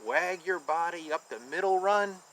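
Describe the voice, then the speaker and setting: A man reading a children's picture book aloud and explaining it informally in simple terms, with an animated manner. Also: sits high, moderate pace, a little hiss or hum, low-quality recording.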